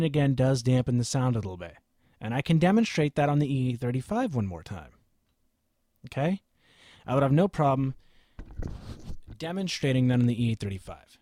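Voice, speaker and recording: A self-assured man in a small home studio holds forth on microphones in an emphatic, lecturing way. His pitch swings widely from word to word. He speaks close to a studio mic in a dry room with no echo. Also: mellow. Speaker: microphone reviewer. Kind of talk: opinionated gear review to camera. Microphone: studio microphone, switched during the video.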